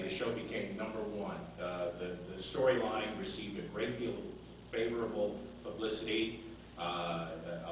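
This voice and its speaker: Low-voiced man lecturing in an echoey room. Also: recorded from a distance. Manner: halting, pausing often. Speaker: seminar speaker, old-time radio author. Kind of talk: informal public lecture. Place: indoor convention seminar room. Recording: muffled, noisy, echoey room from afar.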